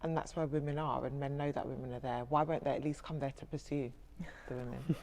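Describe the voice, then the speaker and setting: A woman's voice: very deep voice, steady tone, fast talker, a little mellow, slight background noise. A woman from London asking pointed questions in a casual panel discussion. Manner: inquisitive, challenging.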